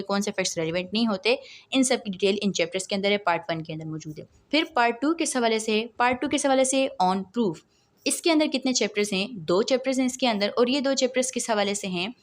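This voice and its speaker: A woman with a Pakistani accent lecturing, explaining point by point in a didactic way, often posing questions and answering them herself. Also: medium pitch. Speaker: law lecturer. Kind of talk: explanatory lecture, reading clauses then explaining.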